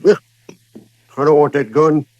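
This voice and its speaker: An actor playing a defensive, curt American gunfighter turned cook in a 1950s radio western. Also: male voice, fairly high pitch, extremely slow, a little mellow, no echo, dull audio. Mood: wary, indignant.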